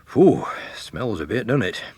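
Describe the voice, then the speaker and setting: A man playing a brisk, polite police sergeant. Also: fairly low pitch, sing-song, dark tone, husky, no echo, exaggerated voice. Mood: relieved, approving.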